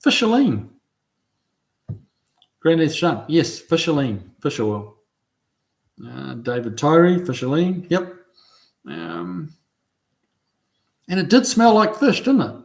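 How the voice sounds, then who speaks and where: A middle-aged man with a New Zealand accent, hosting a casual livestream, reading out viewer comments and answering them in a relaxed, halting way with lots of ums and restarts. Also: on the high side, gravelly. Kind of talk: casual chat.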